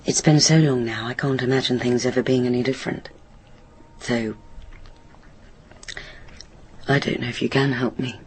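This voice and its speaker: A woman's voice: very deep voice, steady tone, a little fast. British woman, troubled daughter, hesitant, self-correcting, radio play, faint background noise. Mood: uncertain. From Britain. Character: troubled, hesitant daughter of a railway signalman in therapy. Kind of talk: naturalistic radio drama dialogue.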